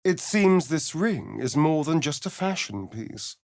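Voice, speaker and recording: A man's voice: on the high side, sing-song, measured pace, no echo, clean studio sound. An actor playing a sly, vain, sardonic male vampire rogue in a video game, with an arch, drawling, theatrical delivery. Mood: wry, subdued. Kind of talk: theatrical video game character lines and narration.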